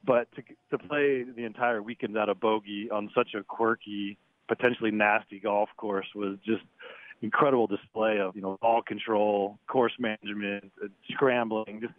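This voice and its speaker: A man with a lowish voice, heard over a tinny phone line with no room echo. He talks about golf in a chatty, halting way, restarting his words often. He chuckles now and then. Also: steady tone. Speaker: golf writer guest. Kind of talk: phone interview.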